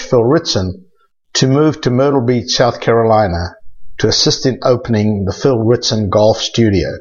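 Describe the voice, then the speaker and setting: An older man with a South African accent, a golf instructor hosting his own podcast in a measured, scripted way. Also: medium pitch, lo-fi sound.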